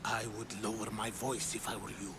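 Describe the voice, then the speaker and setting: An actor playing a secretive, coldly cautious cult conspirator in a video game, speaking in a measured and guarded manner. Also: male voice, lowish voice, level tone, background noise, lightly acted. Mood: conspiratorial. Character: scheming, secretive male cultist, coldly cautious. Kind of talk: dramatic video game acting.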